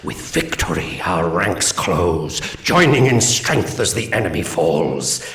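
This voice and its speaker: A man narrating solemn mission briefings in a game as a proud ancient alien commander, grave and measured. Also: sits low, big pitch swings, fairly bright tone, echoey room, exaggerated voice. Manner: grave, measured, archaic phrasing.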